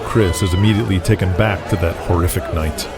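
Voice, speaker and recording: A man's voice: sits low, fairly even intonation, fast talker, a loud crowd. A man reading scripted present-tense narration for an audio drama. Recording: loud music behind the voice.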